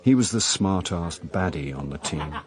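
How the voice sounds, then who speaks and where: An actor playing a wry middle-aged actor turned amateur sleuth, narrating a radio drama in a dry, sardonic way. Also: male voice, deep voice, expressive, moderate pace, a crowd in the background. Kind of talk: radio drama, first-person narration and dialogue.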